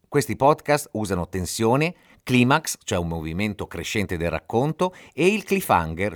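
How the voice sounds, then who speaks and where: A man's voice: on the low side, dry recording, clean studio sound. A man hosting a podcast, giving scripted explanatory narration in a didactic way, speaking directly to the listener. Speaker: podcast host.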